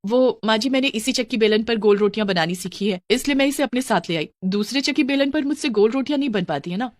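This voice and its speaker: A woman's voice: fairly high pitch, quick pace, no room sound, studio quality, close to an everyday voice. Woman playing a meek, earnest young daughter-in-law. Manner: pleading, earnest.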